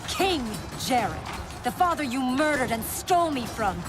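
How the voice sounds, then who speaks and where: A woman's voice: high voice, big pitch swings, normal speed. A woman playing a resolute young warrior princess in a video game cutscene, formal and earnest. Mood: defiant. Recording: very loud music over the voice.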